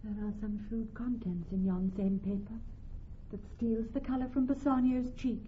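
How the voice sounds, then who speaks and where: Actress as a gracious young heiress, tinny old radio. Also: female voice, on the high side, fairly even intonation, normal speed, slight background noise, natural voice. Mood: anxious concern. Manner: formal, eloquent verse delivery. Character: gracious, devoted, decisive young noble heiress. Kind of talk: classical Shakespearean radio drama. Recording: tinny, band-limited vintage radio, music behind.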